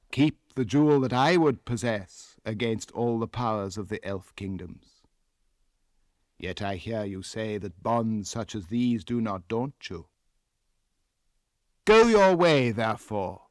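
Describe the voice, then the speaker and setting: A man with an English accent voicing a proud, scornful elven king in an audiobook, deliberate and coldly formal. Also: on the low side, dry recording. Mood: imperious, scornful.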